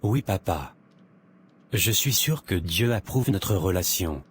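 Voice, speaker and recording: Earnest romantic lead for animation, male actor, early twenties, polite, notably deep voice. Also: lively intonation, takes it slowly, a little hiss or hum. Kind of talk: animated drama dialogue. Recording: music behind the voice.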